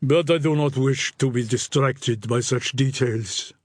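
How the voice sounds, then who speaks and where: Man doing a slow, formal count, amorous and courtly, slightly husky, dry recording. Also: medium pitch, dry recording, lightly acted. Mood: earnest. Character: suave amorous Russian count, middle-aged man.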